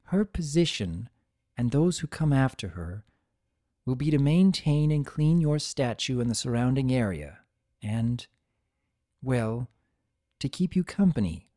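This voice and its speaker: Man as royal mare in a pony story: composed, formal, gentle but firm, unhurried. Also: mid-range voice, very animated, no echo, studio quality. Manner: measured, formal.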